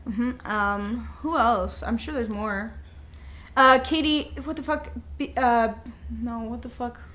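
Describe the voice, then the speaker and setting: A woman's voice: a little high, takes it slowly, a bit bright. A young woman co-hosting a podcast in casual, giggly, informal conversation with plenty of swearing. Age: young adult. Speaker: podcast co-host. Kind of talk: casual unscripted chat between friends.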